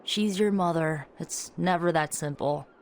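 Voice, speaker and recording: A woman's voice: sits low, a little slow, a little hiss or hum. An actress playing a supportive young woman ally in a video game, talking in an informal, conversational way.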